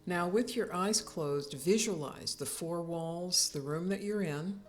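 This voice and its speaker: A woman leading a guided yoga nidra relaxation as the instructor, speaking in calm, soothing, unhurried instructions with pauses. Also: lowish voice, soft background music.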